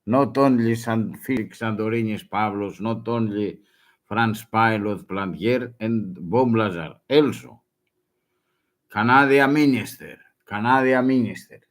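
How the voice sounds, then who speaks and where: A man whose first language is Greek, speaking broken English in a halting, urgent warning with many restarts. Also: lowish voice. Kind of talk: halting self-recorded warning.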